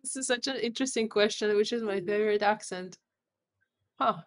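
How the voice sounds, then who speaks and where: A woman in her thirties whose first language is Polish, a company founder talking thoughtfully with halting restarts on a video call. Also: medium pitch. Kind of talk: casual interview. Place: home room on a video call.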